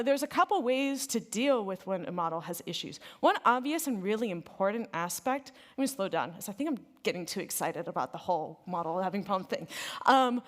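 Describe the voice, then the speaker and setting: An American woman giving a casual, enthusiastic conference talk on machine learning, speaking loosely with many fillers. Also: mid-range voice. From United States. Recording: reverberant hall.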